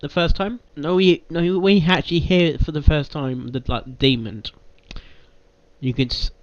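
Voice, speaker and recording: A man hosting a casual reaction, talking in a halting, rambling way. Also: a little high, fairly even intonation, dry recording. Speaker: reaction host. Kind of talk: casual spoken reaction commentary. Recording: dull, lo-fi home recording.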